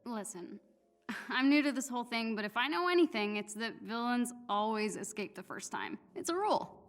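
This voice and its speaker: A woman's voice: fairly high pitch, very animated, normal speed. A woman voicing a chatty, blunt teenage girl who is a rookie superhero in a video game, with a casual American accent. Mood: chatty, encouraging. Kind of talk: naturalistic video game voice acting.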